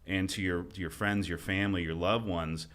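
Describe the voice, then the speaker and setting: A man's voice: low voice, steady tone, normal speed, a bit bright. A man hosting a podcast, chatting casually and asking long, rambling questions. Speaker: podcast host. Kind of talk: casual interview talk.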